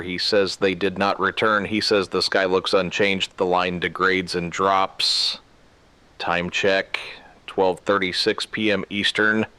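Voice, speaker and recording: A man playing a grave emergency radio broadcaster in a scripted drama, speaking solemnly and deliberately in short, clipped sentences. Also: fairly low pitch, pushed and bright, dry recording. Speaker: radio news host.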